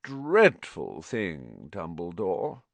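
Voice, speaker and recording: A sneering male aristocrat acted by an audiobook reader, oily and polite. He takes his time over every phrase, his pitch sliding up and down with smug menace. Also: very animated, a little slow, no room sound. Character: sneering, smooth, lazily menacing aristocratic man. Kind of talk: audiobook character acting.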